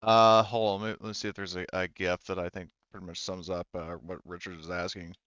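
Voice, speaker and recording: A man's voice: low-pitched, flat delivery, fairly quick, a bit bright, dry recording, dull audio. A man running a tabletop game as game master, narrating casually and often restarting his sentences.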